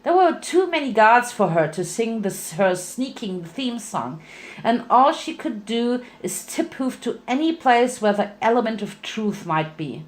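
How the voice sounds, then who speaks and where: A woman's voice: a little high, sing-song, unhurried. A woman giving a playful, theatrical dramatic reading of a fan fiction story as its narrator.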